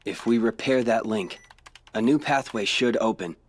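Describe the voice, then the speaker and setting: An actor playing a calm, cryptic teenage hero in a video game, speaking in a measured, matter-of-fact way. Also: male voice, lowish voice, husky. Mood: grave, matter-of-fact.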